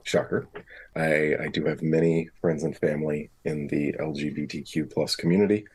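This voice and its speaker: A man's voice: rumbling low, fairly even intonation, normal speed, a little mellow, breathy edge, rough recording. A man chatting casually as a player on a tabletop roleplaying podcast. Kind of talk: casual chat.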